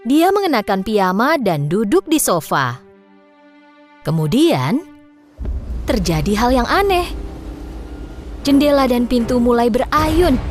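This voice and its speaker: A woman reading scripted narration for a children's story as the narrator. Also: on the high side.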